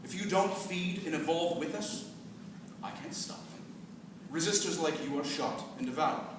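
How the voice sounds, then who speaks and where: An actor playing a smug, seductive, grandiose zombie convert in a staged reading of a dark comic play, with a theatrical delivery that moves between silky and gloating. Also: male voice, fairly high pitch, normal speed, natural voice. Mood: smug, menacing. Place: live stage reading in a hall. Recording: echoey hall from far off, noisy.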